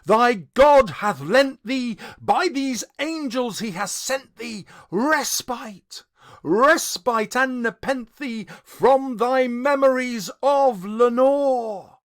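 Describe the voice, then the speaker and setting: A man's voice: extremely high pitch, lively intonation, extremely slow, no room sound, clean studio sound, voice pushed up, heavily acted. A man voicing a tormented, grieving man with impassioned, declamatory pleading in a gothic poem recitation. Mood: anguished. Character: tormented grieving male narrator, desperate and melodramatic.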